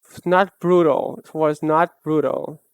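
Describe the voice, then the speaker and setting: A young woman streamer chatting casually while she draws, rambling and self-mocking in a soft, low-key way. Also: steady tone, measured pace, clear, resonant tone, no room sound. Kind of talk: casual chat while drawing live.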